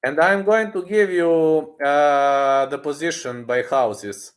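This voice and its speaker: A man in his thirties in casual unscripted livestream chat, halting and repeating himself as he thinks aloud while answering viewers. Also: fairly high pitch. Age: 30s.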